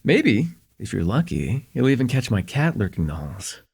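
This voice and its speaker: Man acting as a gravelly, easygoing young guy chatting. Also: medium pitch, big pitch swings, moderate pace, a little mellow, exaggerated voice. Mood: easygoing, welcoming. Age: young adult. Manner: hesitant, rambling, chuckling, easygoing. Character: friendly, forgetful young man hosting a close friend at home. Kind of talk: slice-of-life ASMR roleplay, casual intimate chat to listener.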